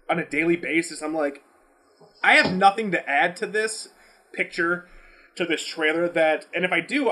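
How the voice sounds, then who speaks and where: A man chatting casually as a podcast host, talking in a rambling, slangy way with plenty of swearing. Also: high voice. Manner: profane, slangy, animated rambling. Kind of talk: casual unscripted podcast chat.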